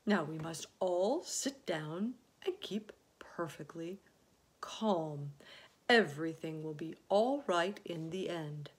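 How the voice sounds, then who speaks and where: A woman voicing a kindly old male green grasshopper, measured and courteous, in a children's storybook. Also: fairly low pitch, expressive, husky, exaggerated voice. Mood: calm, reassuring. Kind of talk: children's storybook read aloud.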